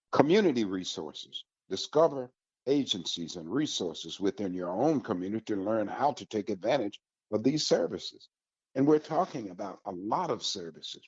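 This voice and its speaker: An American man hosting an informational radio talk, speaking with emphatic, deliberate, motivational phrasing. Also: very animated, darkish tone, husky. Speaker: radio host. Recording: muffled, roomy, echoey low-fidelity sound.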